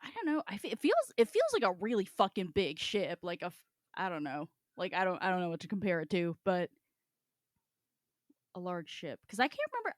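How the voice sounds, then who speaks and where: Animated low-pitched woman in casual chat. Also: dry recording, clean studio sound. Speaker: podcast co-host. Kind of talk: casual book discussion chat.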